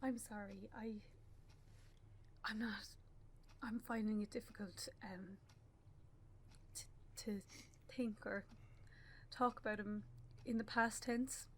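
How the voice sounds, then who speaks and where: An actress with an Irish accent playing a grieving young mother in a radio play, speaking haltingly in a naturalistic style. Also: mid-range voice, fairly even intonation, a little hiss or hum, lightly acted. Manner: halting, with pauses and repeated phrases. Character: grieving young bereaved mother, anguished and stubborn.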